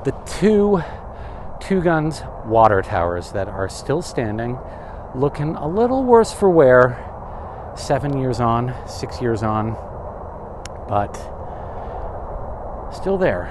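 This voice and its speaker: Lively chatty man touring desert ruins. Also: mid-range voice, takes it slowly, pauses often, background noise. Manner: chatty, wry asides, self-questioning. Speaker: travel vlogger. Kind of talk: casual walking tour narration. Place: outdoors in open desert. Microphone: camera or phone mic, handheld.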